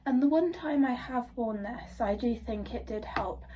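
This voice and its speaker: A woman's voice: on the high side, a little slow, recorded from a distance, background noise. A young woman with a stuffy nose from a cold, chatting casually through a makeup tutorial, rambling with lots of fillers. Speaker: beauty vlogger.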